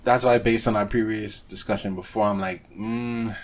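Male podcast co-host, lower-pitched, playful banter, casual anime talk. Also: fairly even intonation, normal speed. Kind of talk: casual chat about anime.

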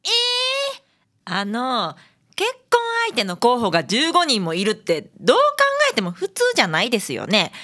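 Woman voicing radio drama assistant, female, Kansai accent, high voice, swooping intonation, sarcastic, sharp-tongued. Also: huge pitch swings, moderate pace, full character voice. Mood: indignant. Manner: sarcastic, indignant. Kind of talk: comedic radio drama acting.

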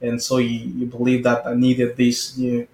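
Man, mid-pitched, monotone, halting speech with restarts, roomy reverb. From Cameroon. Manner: halting, with restarts and "you know". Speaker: guest, pastor and missionary. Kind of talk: casual interview talk.

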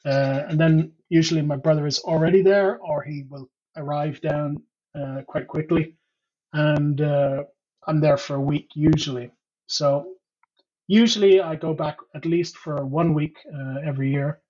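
An Irish-born man recalls his past at an easy pace, pausing often to think. His voice is slightly high and husky, and the recording sounds dull and lo-fi. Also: steady tone, darkish tone. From Ireland. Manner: unhurried, many ums and uhs, reflective storytelling. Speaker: interview guest. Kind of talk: casual conversational interview.

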